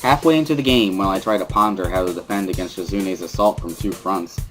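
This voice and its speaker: A man's voice: low voice, fast, faint music behind, natural voice. A man playing a thoughtful, wry teenage schoolboy who narrates his own story in a visual novel dramatic reading. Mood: reflective.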